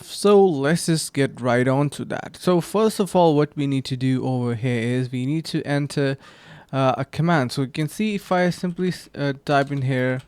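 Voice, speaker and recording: A man hosting a screen-recorded software tutorial. He explains the steps casually and says "uh" and "um" often. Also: medium pitch, smooth. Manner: casual, step-by-step, with frequent fillers. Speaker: tutorial host.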